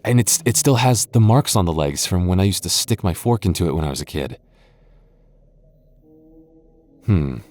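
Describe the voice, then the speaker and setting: A man playing a gentle, sentimental goth boyfriend in an ASMR roleplay, musing hesitantly to his companion. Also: low-pitched. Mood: amused, nostalgic. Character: gentle, sentimental goth boyfriend lost in endless haunted street.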